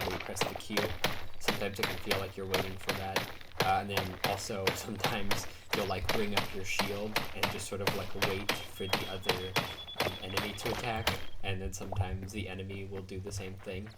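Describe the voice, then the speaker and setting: A man, sick and raspy-voiced, casually commenting on a game as he plays, rambling with many ums and likes. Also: deep voice, heavy background noise. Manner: rambling, hesitant, lots of um and like. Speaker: gaming YouTuber. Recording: quiet voice under loud game music. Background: loud game music.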